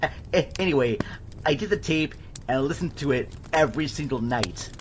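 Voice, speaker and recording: A man playing an excited, lovestruck teenage girl who gushes in halting slang, in an amateur re-enactment of a film scene. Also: fairly high pitch, background noise, lightly acted. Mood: gushing. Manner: gushing, halting teen slang.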